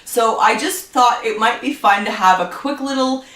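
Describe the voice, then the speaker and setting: A woman's voice: fairly high pitch, moderate pace, sharp tone, distant mic. Woman, stuffy allergy voice, chatty rambling vlog talk, echoey room, set back from the mic. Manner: chatty, rambling, frequent fillers. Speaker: book vlogger. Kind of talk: casual chat to camera.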